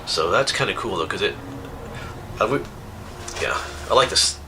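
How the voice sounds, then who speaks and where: A man's voice: fairly low pitch, animated, moderate pace, background noise. A man, sniffly from a cold, chatting casually and rambling as he opens viewer mail at home on camera, laughing often. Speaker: outdoors YouTuber reading viewer mail. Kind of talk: casual chat. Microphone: camera mic.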